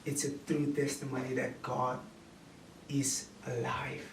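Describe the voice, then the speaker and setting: A man in his late twenties with a Cape Town South African accent, giving a personal testimony in a preaching, storytelling way, in deliberate phrases with repetition. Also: mid-range voice, monotone. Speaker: guest speaker giving testimony. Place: indoor room with small seated audience. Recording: roomy, distant camera mic with noise.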